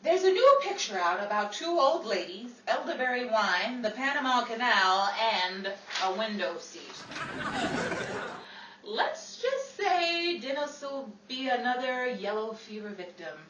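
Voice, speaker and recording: An actress playing a sharp-tongued, exasperated young studio working woman in a 1940s-style radio comedy, with sarcastic, drawn-out delivery. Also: a little high, sing-song. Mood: scheming, wry. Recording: roomy, distant, noisy live stage recording.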